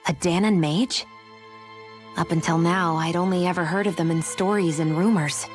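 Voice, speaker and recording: A woman's voice: on the low side, steady tone, moderate pace, faint music behind, lightly acted. An actress playing a blunt, sceptical young woman fighter in a video game, with a dry, pointed delivery. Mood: cool, probing. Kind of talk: dramatic video game voice acting.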